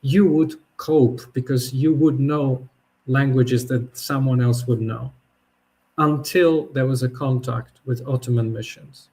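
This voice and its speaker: Man, native Polish speaker, mid-pitched, halting, reflective essay talk. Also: steady tone, warm tone, low-quality recording. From Poland. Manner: thoughtful, halting, with frequent restarts and fillers. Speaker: podcast host.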